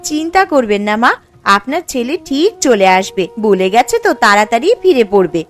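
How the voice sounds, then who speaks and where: A woman voicing a caring young village wife in a cartoon, speaking in a soothing, gentle way. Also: on the high side, very animated, fairly quick, soft background music.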